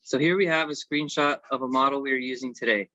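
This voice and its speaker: A man's voice: medium pitch, steady tone, fast talker, sharp tone, dry recording. A man giving a semi-scripted technical presentation as an engineering consultant, on a slightly dull recording.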